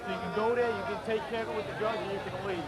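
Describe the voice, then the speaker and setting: A man's voice: high-pitched, fairly even intonation, rapid-fire. A male police officer explaining procedures and instructing people in a procedural, matter-of-fact manner. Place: busy street outdoors at night.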